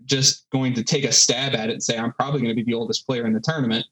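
Man, 30s, bright tone, casual podcast chat, lots of ums and uhs. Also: mid-range voice, fairly even intonation, poor audio. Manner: halting, many fillers, self-deprecating. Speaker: solo podcast host, guest.

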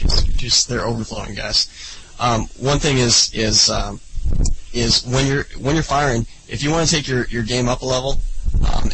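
A man's voice: medium pitch, gravelly, noisy background. A man co-hosting a casual gaming podcast, rambling through tips with lots of ums and restarts. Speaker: podcast co-host.

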